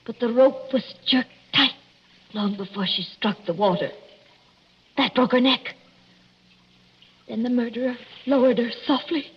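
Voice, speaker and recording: An actress playing a frightened young bride in 1940s radio drama, hysterical, halting and breathless on a dull, noisy old recording. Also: female voice, fairly high pitch, breathy edge, exaggerated voice. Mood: frightened, urgent. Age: young adult. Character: frightened young bride recounting a murder attempt.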